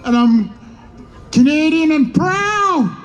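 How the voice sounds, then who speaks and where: Fervent man shouting at a rally. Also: very high voice, lively intonation, takes it slowly, lo-fi sound. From Sudan. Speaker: rally speaker. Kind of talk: rally speech. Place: outdoor downtown street protest. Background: faint crowd.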